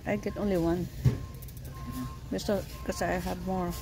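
A woman's voice: on the low side, little pitch movement, unhurried, loud music behind the voice, poor audio. A woman from the Philippines with a Filipino accent, chatting casually as a shopping vlogger in a large store. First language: Tagalog. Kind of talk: casual shopping vlog chat. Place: large warehouse store, indoors. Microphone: handheld phone camera, held by the speaker.

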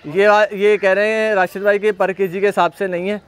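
A man's voice: sits high, level tone, normal speed, clear, resonant tone. A man hosting an on-site walkthrough of livestock, chatting casually and repeating praise often. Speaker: livestock video host. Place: outdoor goat pen at a farm.

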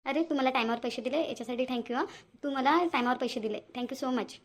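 A woman's voice: sits high, fairly quick. A woman voicing a scheming, wheedling young cartoon character who repeats herself, speaking Marathi with a Varhadi accent from Vidarbha. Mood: sweetly persuasive. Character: scheming young woman sweet-talking for money. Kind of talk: amateur cartoon voice-over.

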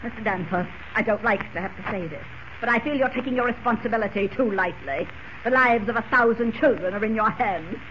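An actress as a nervous, indignant prim matron. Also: female voice, on the high side, a little fast, close to an everyday voice. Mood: agitated, reproachful. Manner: formal, overwrought. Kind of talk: 1940s radio drama acting. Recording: muffled, hissy old radio recording.

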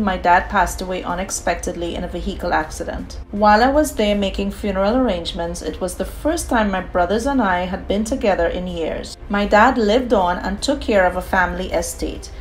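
A woman from Trinidad and Tobago with a Trinidadian accent, calmly narrating her own DIY home decor project in a scripted voice-over. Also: mid-range voice, low-quality recording. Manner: calm, personal and explanatory.

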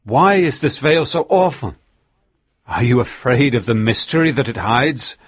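A man's voice: mid-range voice, animated, measured pace, husky, no echo, pitched above the performer's own voice. A man voicing a dying old reverend who cries out defiantly from his deathbed. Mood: accusing. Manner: cries out, raised and intense.

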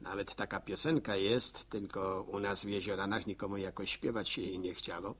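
An actor playing a folksy village postman who narrates in a slow, musing, homespun way in an old radio drama. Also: lowish voice, fairly even intonation, fairly bright tone, slightly rough. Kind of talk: rural storyteller monologue in a radio drama.

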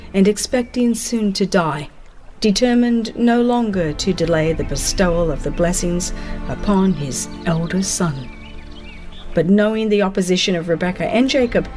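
A woman narrating a dramatized religious audiobook in a solemn, deliberate storytelling manner with dramatic pauses. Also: mid-range voice, darkish tone.

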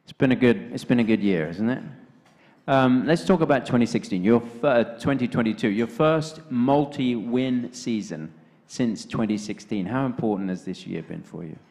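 A man's voice: mid-range voice, moderate pace, darkish tone. A man in his thirties hosting an awards night on stage, upbeat and joking with his guest. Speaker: awards host. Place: large banquet hall stage. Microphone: handheld mic, later podium mic. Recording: reverberant hall.

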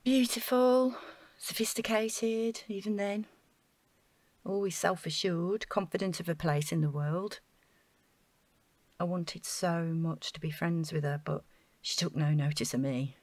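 An actress playing an anxious, self-doubting woman in an audio drama, speaking in a flustered, halting way. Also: fairly low pitch, fairly even intonation, darkish tone, no room sound, natural voice. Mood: wistful, downcast.

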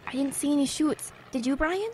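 Lady acting curious young english lad in a radio play, high voice, noisy clicky background. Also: brisk, darkish tone, lightly acted. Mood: chatty, confiding. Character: eager, curious British schoolboy named Nigel.

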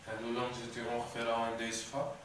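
A man's voice: mid-range voice, completely flat delivery, brisk, a bit bright. A young man asking simple questions as an interviewer. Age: young adult. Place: indoor art gallery with bare floor. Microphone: camera a few metres away. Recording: echoey room, distant mic, clear background noise.

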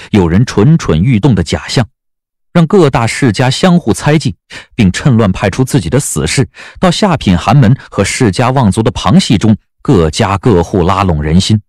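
A man's voice: on the low side, darkish tone. A man narrating a Chinese period novel as a dramatic scripted audiobook. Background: background music.

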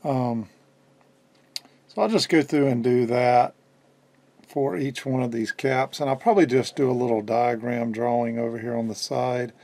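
A man in his fifties giving a casual hands-on demonstration at a workbench indoors, explaining in a halting way as he thinks aloud. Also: medium pitch, steady tone, a little mellow. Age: 50s. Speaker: electronics restorer and presenter.

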